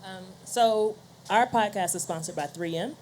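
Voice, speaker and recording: A woman's voice: mid-range voice, normal speed, darkish tone, slight background noise, low-quality recording. A woman podcast host speaking casually on a panel, checking her points with the listener as she goes. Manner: casual, ends points with "right?". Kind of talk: conversational panel talk.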